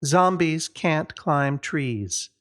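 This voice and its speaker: A man's voice: a little high, animated, drawn-out words, fairly bright tone, voice pushed up, full character voice. A man voicing a scornful, smirking know-it-all girl in a storybook, dismissive and smug.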